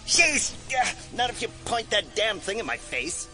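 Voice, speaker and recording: An excitable hippie conspiracy geek. His voice is bright and complaining, with lively, swooping pitch, in an audio drama. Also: measured pace, faint music behind, exaggerated voice. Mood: irritated.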